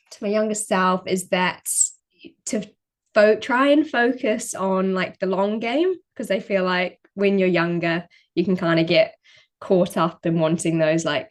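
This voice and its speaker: Modest young New woman. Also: mid-range voice. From New Zealand. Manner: relaxed, modest, frequent ums and likes. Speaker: podcast guest, runner. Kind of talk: casual interview chat.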